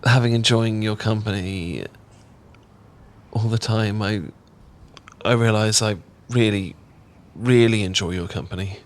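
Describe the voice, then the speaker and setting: A British man playing a shy, tender roommate in a romantic ASMR audio roleplay, speaking in a gentle, halting, earnest way. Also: fairly low pitch, steady tone, close to an everyday voice. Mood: nervous, earnest. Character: shy, tender male roommate confessing love.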